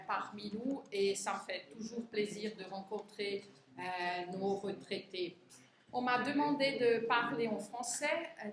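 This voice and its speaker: A woman's voice: a little high, a bit bright. A middle-aged woman from Switzerland giving a talk in French, which is not her first language, hesitating often with fillers and restarts. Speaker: conference speaker. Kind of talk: lecture to union retirees. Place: conference hall. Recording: echoey hall, faint room noise.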